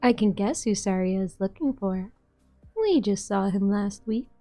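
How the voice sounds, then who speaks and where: A woman playing a poised, curious and quietly scheming boss in an amateur game fan dub. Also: medium pitch, expressive, measured pace, soft background music. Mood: cool, musing.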